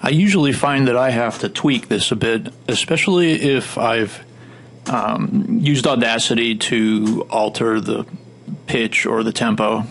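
A man giving informal, step-by-step instructional narration as a software tutorial instructor, with a gravelly voice. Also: mid-range voice, measured pace. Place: indoor voice-over for a screen recording. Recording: faint background noise.

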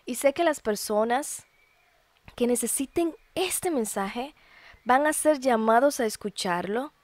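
A Dominican woman in her early thirties hosting a podcast, telling a personal testimony in a heartfelt, expressive way with colloquial asides. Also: a little high, a little slow, no echo. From Dominican Republic. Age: early 30s. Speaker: podcast host.

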